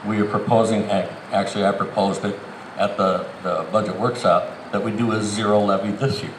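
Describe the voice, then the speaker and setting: Low-voiced man on echoey PA. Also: fairly even intonation, moderate pace. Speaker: city council candidate and incumbent. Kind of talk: answering at a candidate forum. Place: outdoors in a town park. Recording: echoey outdoor PA with clear background noise.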